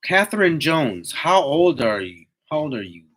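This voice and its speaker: A man's voice: a little high, very animated, normal speed, fairly bright tone. A man in his twenties chatting casually to live viewers in a slangy, motivational way, repeating himself for emphasis. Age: 20s. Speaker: livestream host, tree climber.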